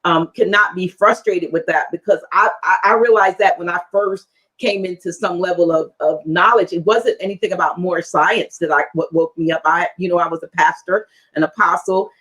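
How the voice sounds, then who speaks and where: A woman hosting an interview talk show, speaking haltingly and repeating words as she builds her point. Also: lowish voice, fairly bright tone, poor audio.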